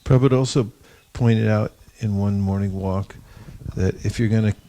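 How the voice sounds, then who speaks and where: A man's voice: fairly low pitch, fairly even intonation, moderate pace, warm tone, a little hiss or hum. A man leading a devotional class discussion, telling anecdotes with wry humor. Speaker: discussion leader. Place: gathering in India.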